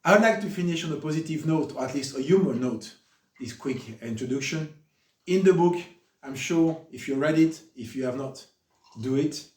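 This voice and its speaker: A middle-aged French man with a French accent, giving a formal spoken introduction at a book discussion, earnest and halting, with frequent fillers. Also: on the high side. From France. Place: room with an audience. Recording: echoey room, mic at a distance.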